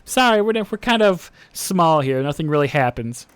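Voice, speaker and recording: A man's voice: fairly high pitch, very animated, normal speed, clean tone, dry recording. A man running a tabletop game as game master, casual and laughing easily.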